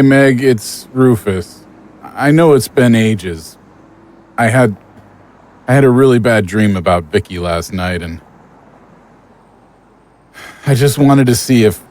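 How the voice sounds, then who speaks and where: A man's voice: fairly low pitch, a little mellow. A man playing an earnest, troubled man in a naturalistic audio drama. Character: earnest, troubled man pleading with a lost love.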